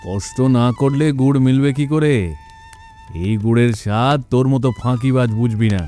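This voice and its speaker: A man's voice: medium pitch, normal speed, dark tone, faint music behind, natural voice. A man voicing a hardworking cartoon village craftsman who is plain-spoken, chiding and scoffing. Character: hardworking, scoffing traditional village jaggery maker, older brother type. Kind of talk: animated cartoon dialogue.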